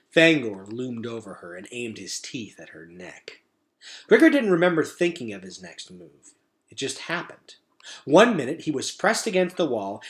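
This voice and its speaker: Animated man reading an adventure story aloud. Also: medium pitch. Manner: animated, suspenseful delivery. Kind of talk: dramatic children's novel read-aloud.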